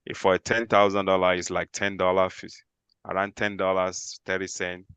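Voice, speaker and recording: A man with a deep, resonant voice gives an informal explanation as an insurance agent. He tends to restate his points. The sound is close and dry, with little room around it. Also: completely flat delivery, moderate pace, fairly bright tone, smooth, no echo. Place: remote online group call.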